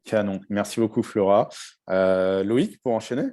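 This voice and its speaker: A French man hosting a panel discussion in a warm, mellow, fairly low voice. He talks loosely and hesitantly, restarting phrases and filling pauses as he introduces each topic. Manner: relaxed, hesitant, with frequent fillers and restarts. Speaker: webinar host. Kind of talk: hosting a live panel webinar.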